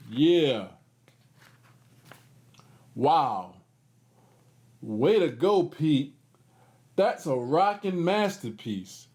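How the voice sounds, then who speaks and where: A man's voice: fairly high pitch, darkish tone. A man hosting a children's read-aloud, reading a picture book expressively with casual, encouraging asides.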